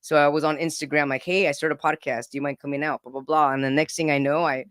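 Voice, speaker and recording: A man's voice: a little high, flat delivery, quick pace, fairly bright tone, clear, resonant tone, no echo, studio quality. A man in his forties telling his own story as a podcast guest, earnest and often repeating words as he starts a sentence. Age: 40s. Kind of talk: casual personal storytelling.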